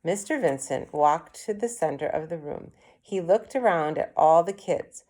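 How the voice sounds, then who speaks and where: A woman's voice: sits low, lively intonation, moderate pace, dark tone. A woman reading a children's story aloud as a first-person narrator. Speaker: read-aloud reader.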